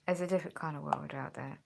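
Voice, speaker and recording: A woman's voice: sits low, vocal fry, slight background noise. A woman chatting casually in a rambling vlog, congested and losing her voice, filmed on a phone a friend holds at home. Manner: chatty, rambling, with sighs and tag questions. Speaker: vlogger. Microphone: phone held by a friend.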